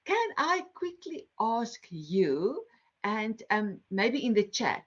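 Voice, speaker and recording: A woman's voice: a little high, big pitch swings, slow. A middle-aged woman with a South African accent, facilitating an online workshop in a friendly, explanatory way with frequent ums and restarts. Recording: slightly dull online call audio.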